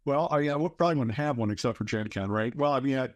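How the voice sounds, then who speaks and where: A man's voice: medium pitch, fast talker, no echo. A man in his fifties from southern Wisconsin, telling long rambling stories as a guest in a casual interview. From southern Wisconsin, USA. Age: 50s. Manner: rambling storyteller with many fillers. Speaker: guest, author and game designer.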